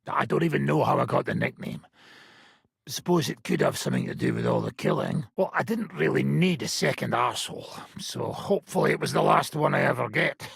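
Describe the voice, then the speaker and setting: Aging bandit war-band leader for a game: very husky, heavy rasp, blunt, sardonic, swinging widely in pitch. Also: male voice, very animated, fairly quick, no echo, studio quality, full character voice. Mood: wry, grumbling. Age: older. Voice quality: rough, raspy, gravelly. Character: foul-mouthed old wanted robber baron, gruff war-band leader. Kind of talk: video game character dialogue.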